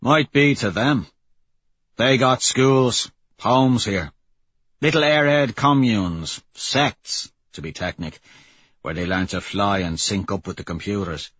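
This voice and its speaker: A man's voice: lowish voice, takes it slowly, a bit bright, slightly rough, heavily acted. A man voicing a wry, stringy working-class smuggler in an audiobook, talking in cocky slang. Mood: wry, cynical. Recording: muffled, roomy audio.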